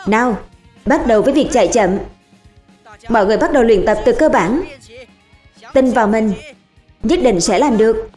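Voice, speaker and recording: A fiery young male cartoon warrior, voiced by a woman, pitched fairly high. He exhorts his recruits with rousing, encouraging drive over background music. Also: lively intonation, moderate pace, long pauses, darkish tone, close to an everyday voice. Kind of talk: animated series dubbing.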